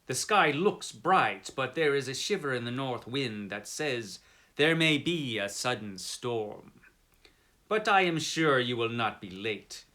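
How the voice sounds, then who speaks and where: A man voicing a courteous German hotel head waiter in a story reading, giving a slow, measured warning. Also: medium pitch, lively intonation, sharp tone. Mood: grave warning.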